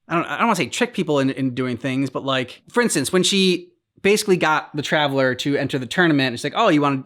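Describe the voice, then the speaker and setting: A man co-hosting a reaction channel, casually working through his points in a halting way and acting out imagined dialogue. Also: fairly high pitch, fairly bright tone.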